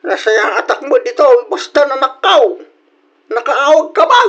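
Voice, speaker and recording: A man's voice: very high-pitched, lively intonation, normal speed, a bit bright. An actor with an Ilocano accent playing an indignant, incredulous old father-in-law in a radio drama. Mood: surprised.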